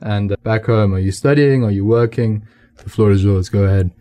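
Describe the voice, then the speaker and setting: A young man hosting a radio interview, polite and measured, with frequent affirming murmurs. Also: on the low side, warm tone.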